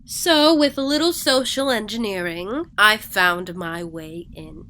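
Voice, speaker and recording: An actress playing a stern, secretive and impatient alien treasure hunter in an audio drama, speaking in a curt, commanding manner. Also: female voice, mid-range voice, a little slow, bright, forceful tone. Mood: smug, determined.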